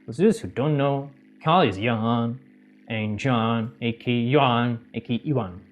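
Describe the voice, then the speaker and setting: A man hosting a gaming channel, recapping a game's story in halting non-native English and breaking off for joking asides. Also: medium pitch, highs cut off. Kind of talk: game story recap with commentary.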